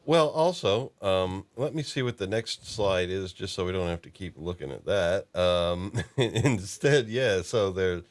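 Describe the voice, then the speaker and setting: A man hosting a casual fan discussion about lore, talking haltingly as he thinks aloud. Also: sits low, expressive. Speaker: podcast host.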